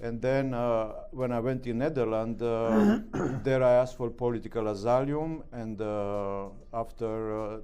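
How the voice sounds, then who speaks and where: A middle-aged man with a Romanian accent, speaking broken English as an interview guest, halting with many fillers. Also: mid-range voice, mellow, clean tone, faint music behind. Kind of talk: interview answers.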